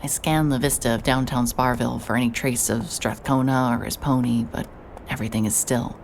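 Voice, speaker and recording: A woman's voice: rumbling low, fairly even intonation, fairly quick, a little mellow. A woman narrating an audio drama in the first person, with a wry, sardonic delivery. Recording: clear background noise.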